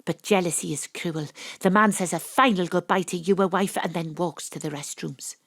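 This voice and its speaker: Sharp lady detective narrating her case, kinda low voice, dry studio sound, deliberate. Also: no echo. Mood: triumphant deducing. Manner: deliberate, probing, storytelling. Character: shrewd, theatrical female amateur detective narrating her case. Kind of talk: audio drama narration and dialogue. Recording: dry, close home-studio recording.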